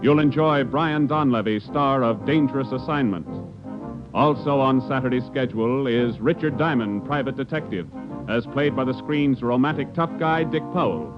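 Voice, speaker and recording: Vintage network announcer: man, mid-range voice, formal scripted delivery, clear music bed, dull recording. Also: mid-range voice, normal speed. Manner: formal, declamatory. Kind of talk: 1950s radio announcing, scripted. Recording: muffled old radio recording.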